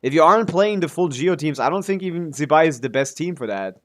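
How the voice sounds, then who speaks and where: A man's voice: a little high, expressive, fast. A man streaming a video game, chatting casually and thinking aloud in a hesitant, trailing way. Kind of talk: casual livestream gaming commentary and chat.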